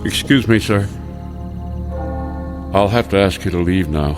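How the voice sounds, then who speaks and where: A man's voice: deep voice, a little slow, over music. An actor playing a polite, puzzled male attendant in a radio drama. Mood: polite, firm.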